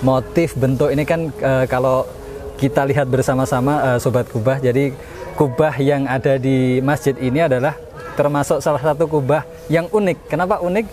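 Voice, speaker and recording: A man works as an interviewer in a casual promotional conversation. His voice sits at a middle pitch, and he tends to repeat and confirm points as he goes. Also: fairly even intonation, normal speed, darkish tone. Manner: echoes and confirms the guest's answers.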